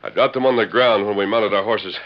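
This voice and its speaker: An American actor playing a bold, commanding masked cowboy hero in a 1940s radio western. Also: male voice, on the low side, steady tone, brisk, lightly acted. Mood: calm, commanding. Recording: dull old radio broadcast.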